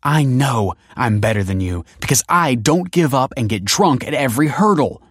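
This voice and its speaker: A man voicing a feisty young woman in a narrated story, brisk, sardonic and emphatic. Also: medium pitch, lively intonation, dry recording, clean studio sound, pitched above the performer's own voice, natural voice. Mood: angry, cutting. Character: sharp-tongued, teasing, feisty young woman.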